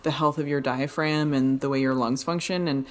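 A woman's voice: steady tone, normal speed. A woman co-hosting a podcast, who teaches fitness, talking in a rambling casual way with many fillers. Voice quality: low voice.

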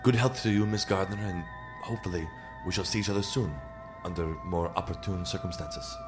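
A man plays a mysterious painter from a horror radio play. The voice is on the low side, courtly and deliberate, with music clearly playing behind it. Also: steady tone, close to an everyday voice. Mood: hesitant, polite. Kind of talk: dramatic radio play acting.

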